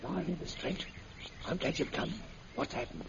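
A man's voice: high-pitched, sing-song, moderate pace, vocal fry, exaggerated voice. An actor playing an imprisoned middle-aged father, anxious and emphatic, in the style of a 1940s radio serial. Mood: eager, secretive. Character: imprisoned British man, anxious father, eager conspirator. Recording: muffled, noisy old radio recording.

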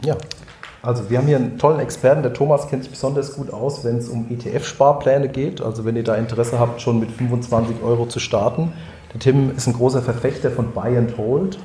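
A man's voice: mid-range voice, fairly even intonation, fairly quick, dark tone, slight background noise. A man moderating a panel in a school hall, introducing the guests in an upbeat way. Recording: roomy hall with faint ambience.

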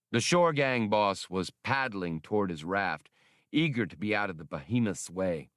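A man reads adventure fiction as a dramatic narrator. His pace is slow and deliberate, his mid-pitched voice moves expressively up and down, and the sound is dry. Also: a bit bright, dry recording. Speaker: audiobook narrator.

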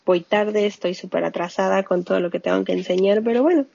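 A woman's voice: medium pitch, a little fast, creaky, dry recording. A woman, a scientist and mother, telling stories in casual conversation and quoting herself. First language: Spanish. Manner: animated storyteller, quotes herself. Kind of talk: casual interview chat. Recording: muffled lo-fi dry audio.

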